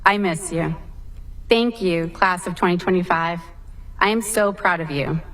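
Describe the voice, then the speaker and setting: A woman on the school staff giving warm, affectionate farewell remarks to graduates, with a light joke. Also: lowish voice, a little slow.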